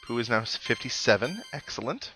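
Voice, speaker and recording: A man's voice: mid-range voice, very animated, a little fast. A man hosting a game playthrough, chatting casually with wry, musing asides and reading on-screen text aloud. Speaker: host of a game playthrough series. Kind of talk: casual let's play commentary, reading game dialogue aloud. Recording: game music behind. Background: video game music.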